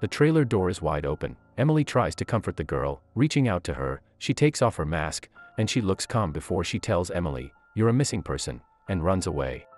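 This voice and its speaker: A man narrating a movie recap in a scripted, steady storytelling manner. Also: deep voice, brisk, hardly any pauses.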